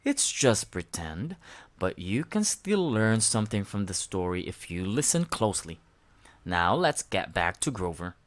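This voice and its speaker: A gentle storybook father, voiced by a woman, patient and explanatory. He talks slowly, with a widely varied melody. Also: very deep voice, big pitch swings, voice pushed down, full character voice. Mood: reassuring. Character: patient monster father telling a bedtime tale.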